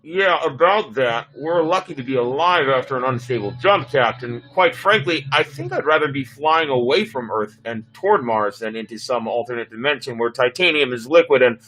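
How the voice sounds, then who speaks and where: A man's voice: mid-range voice, lively intonation, moderate pace, hardly any pauses, pushed and bright, faint music behind, low-quality recording. A man voicing a dutiful, anxious spaceship pilot in a sci-fi story reading, formal and earnest. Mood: wary. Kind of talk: dramatic reading of sci-fi script.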